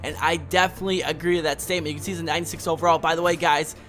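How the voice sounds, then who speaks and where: A young man with a hoarse voice from a cold, doing upbeat gameplay commentary straight to his viewers. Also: a little high, little pitch movement, fairly bright tone. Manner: upbeat, fast-talking, speaks straight to viewers. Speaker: gaming YouTuber. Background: background music.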